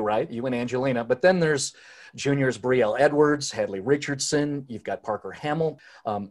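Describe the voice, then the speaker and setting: A man interviewing a high school athlete, asking measured follow-up questions. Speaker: sports interviewer.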